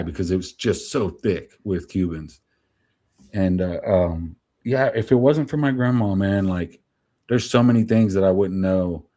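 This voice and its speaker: A man from New Jersey whose first language was Spanish speaks in a low voice with a mellow tone. He talks candidly and loosely as an interview guest, with lots of "you know" and "like" along the way. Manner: rambling, filler-heavy, candid. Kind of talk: casual interview chat.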